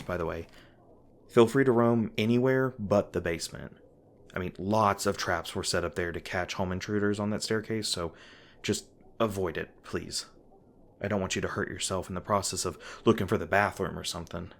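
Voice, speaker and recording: A man voicing an awkward young monster hunter in an audio roleplay, low and hesitant, stumbling over his words as he looks out for the listener. There is a faint hiss under the voice. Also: normal speed. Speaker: roleplay voice actor.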